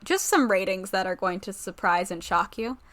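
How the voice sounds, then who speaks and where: A woman's voice: medium pitch, moderate pace, fairly bright tone. A woman podcast co-host chatting casually about a TV show in an informal, rambling way, often correcting herself.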